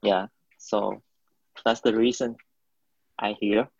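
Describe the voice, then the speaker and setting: Vietnamese accented guy in his 20s, gravelly low voice, slow and halting, dull dry lo-fi sound. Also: level tone, darkish tone, dry recording. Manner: hesitant, searching for words. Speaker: interviewee, college student. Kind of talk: halting interview answers.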